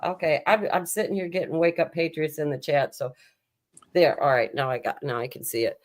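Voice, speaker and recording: A woman's voice: low voice, fairly even intonation, a little fast. A woman hosting a casual live stream, talking in a chatty, informal way. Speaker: show host.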